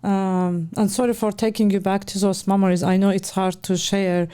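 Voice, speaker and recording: Gentle woman interviewer, mellow tone. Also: mid-range voice, level tone, smooth. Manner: gentle, encouraging, halting phrasing. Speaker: interview host. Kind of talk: interview questions.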